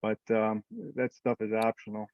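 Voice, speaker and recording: A man's voice: lowish voice, little pitch movement, normal speed, no room sound. A man leading an informal discussion on a remote conference call. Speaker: meeting leader. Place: remote teleconference. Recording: muffled conference call audio.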